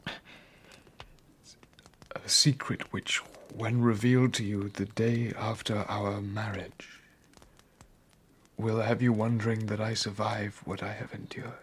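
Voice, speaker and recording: An actor playing a guilt-ridden, brooding young scientist with halting, anguished delivery in a dramatised audiobook. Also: male voice, fairly low pitch, flat delivery, husky. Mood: weary, subdued. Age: young adult.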